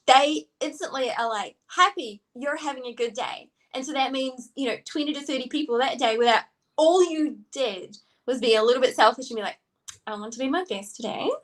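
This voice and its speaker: Enthusiastic New Zealand woman, slightly high voice. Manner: energetic, enthusiastic, lots of "like" fillers. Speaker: stylist and co-host. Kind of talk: casual podcast chat.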